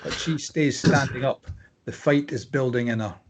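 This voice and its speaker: A man's voice: mid-range voice, normal speed. Man reading stage directions, noisy recording. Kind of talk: scripted narration at a table read.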